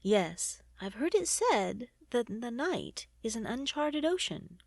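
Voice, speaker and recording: A woman voicing a fond, whimsical gentleman adventurer in a storybook, earnest and courtly. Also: fairly high pitch, very animated, slow, creaky, no room sound, studio quality, exaggerated voice. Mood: courtly, hopeful.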